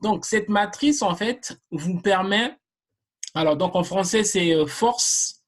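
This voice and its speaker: A marketing trainer teaching a live online class at an unhurried, slow pace, in a voice of ordinary middle pitch. The delivery is halting, with sentences restarted and filled with pauses as each concept is laid out step by step. The speaker addresses the viewers directly, like a teacher in front of a class. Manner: hesitant, with frequent restarts and filler sounds.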